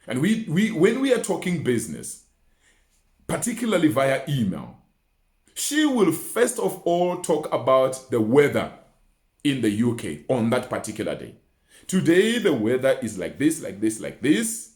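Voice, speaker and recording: A man's voice: mid-range voice, wildly swooping pitch, a little slow, a little mellow. A man giving an instructional talk in an emphatic, didactic way, using rhetorical questions and repetition. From Botswana. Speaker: research mentor and communication coach. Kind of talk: instructional talk with numbered tips. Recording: roomy, echoing indoor sound.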